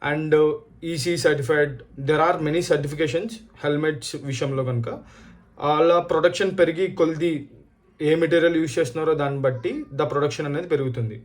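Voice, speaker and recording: Higher-voiced Telugu man, casual vlogger. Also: fairly even intonation, moderate pace. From India. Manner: code-switches often between Telugu and English. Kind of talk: casual product review and storytelling to viewers.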